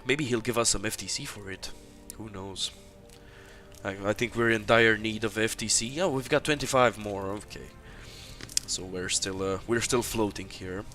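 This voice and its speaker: A man's voice: lowish voice, steady tone, moderate pace, bright, forceful tone, faint music behind. A man from Romania, commenting live as he plays through a fantasy online game. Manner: narrates his actions, many fillers. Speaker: game developer streaming gameplay.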